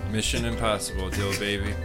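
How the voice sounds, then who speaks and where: A man's voice: lowish voice, flat delivery, brisk, music in the background. A man co-hosting a casual podcast, joking around in a laid-back, profane way. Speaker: podcast co-host.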